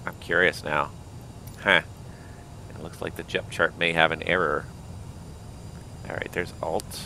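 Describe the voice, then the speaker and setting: A man flying a simulated airliner, thinking aloud and calling out checklists, with a voice that is going hoarse. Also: low voice, flat delivery, unhurried, bright, forceful tone, a little hiss or hum. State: losing his voice. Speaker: flight simulator pilot. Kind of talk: casual cockpit commentary.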